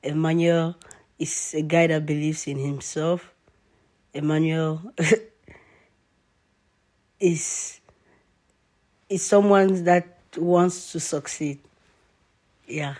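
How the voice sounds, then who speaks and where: A man's voice: fairly high pitch, steady tone, drawn-out words, pauses often, darkish tone. Earnest man explaining at length. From Cameroon. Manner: earnest, explains at length, often checks with "you understand?". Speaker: guest. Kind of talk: personal interview answers.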